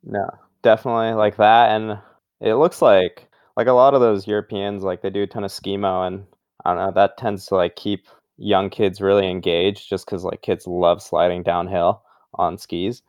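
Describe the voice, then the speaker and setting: Low-voiced laid-back young man. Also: normal speed, clear, resonant tone, dry recording, clean studio sound. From Brazil. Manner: relaxed, loose, leans heavily on "like" and "um". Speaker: guest. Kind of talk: casual interview chat.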